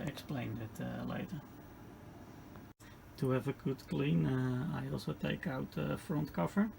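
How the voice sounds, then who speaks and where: Gravelly, slow-talking man, non-native English speaker. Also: mid-range voice. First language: not English. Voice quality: gravelly, creaky. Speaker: host of a radio restoration channel. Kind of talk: casual hands-on repair commentary. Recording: clearly noisy home-made recording.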